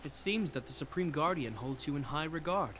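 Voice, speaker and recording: A man's voice: on the high side, lively intonation, heavy background noise, close to an everyday voice. A man playing a formal, dutiful guard captain. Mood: courteous. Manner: polite, measured and formal. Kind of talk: video game voice acting. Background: game music.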